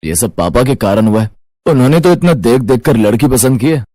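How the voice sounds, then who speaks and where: A man's voice: mid-range voice, animated, a little fast, background noise, close to an everyday voice. A man voicing a dutiful young married son with an earnest, explanatory manner in a cartoon moral story. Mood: pleased.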